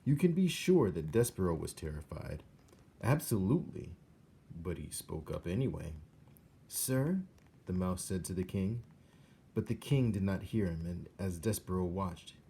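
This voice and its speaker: A man reading a children's story aloud as an expressive narrator. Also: sits low, a little hiss or hum.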